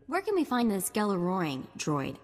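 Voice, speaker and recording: An actress playing a curious, wry sidekick in a video game, conversational and informative. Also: female voice, mid-range voice, wildly swooping pitch, moderate pace, long reverb tail. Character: curious, wry female sidekick of a bounty hunter.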